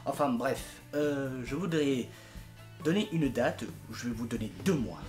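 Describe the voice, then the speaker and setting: A man's voice: medium pitch, unhurried, a little mellow, faint music behind. A young man giving a casual, informal announcement as a project leader, exasperated and emphatic with comic exaggeration. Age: young adult. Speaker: fandub project leader and video maker.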